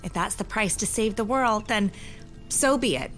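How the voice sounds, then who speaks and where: A woman playing a wise, hospitable and grave keeper of magical lore, telling things deliberately with old-fashioned phrasing in an audio drama. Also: medium pitch, fairly bright tone, soft background music, close to an everyday voice. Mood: accepting.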